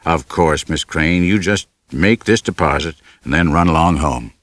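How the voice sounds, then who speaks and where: Man as a genial, deep-voiced office boss. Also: normal speed, a bit bright, no echo. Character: good-humored, generous male office boss.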